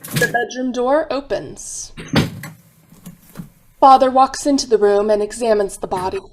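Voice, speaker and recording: Female narrator for scripted radio drama, fairly high voice. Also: measured pace, background noise.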